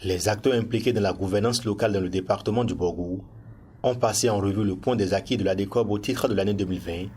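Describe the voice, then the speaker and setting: A man's voice: fairly low pitch, fast, a little mellow, a little hiss or hum. A man with a Beninese accent reading a scripted television news report as its reporter.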